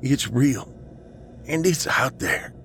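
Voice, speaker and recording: Guy doing a freaked out paranoid dude, pleading, higher voice, horror audiobook. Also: animated, slow pace, gravelly, fairly bright tone, soft background music, heavily acted. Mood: urgent pleading. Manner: intense, pleading, insistent. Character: distraught, paranoid man insisting he hears something. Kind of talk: audiobook character dialogue, horror story.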